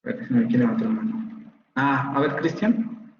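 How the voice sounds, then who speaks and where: A man's voice: mid-range voice, moderate pace, a little hiss or hum. A man with a Mexican accent, a university teacher explaining a topic to his class and often checking in with the students. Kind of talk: lecturing in an online class. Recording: roomy, dull online call audio.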